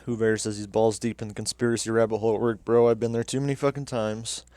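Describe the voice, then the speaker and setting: A man's voice: fairly low pitch, level tone, fast, mellow, smooth, dry recording. A young man hosting a livestream, chatting casually and cursing as he reacts to videos with viewers. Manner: laid-back, swears casually. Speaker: livestream host.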